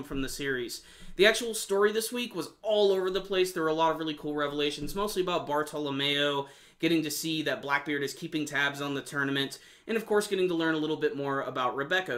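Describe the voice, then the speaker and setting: A man's voice: fairly high pitch, a bit bright. A man giving an enthusiastic video review of an anime episode in casual, slangy fan talk.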